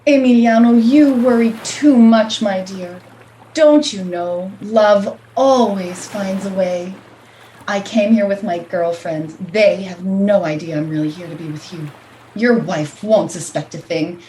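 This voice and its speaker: A woman's voice: medium pitch, unhurried, warm tone, close to an everyday voice, low-quality recording. A woman playing a seductive, confident, scheming mistress in a radio play. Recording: faint background noise.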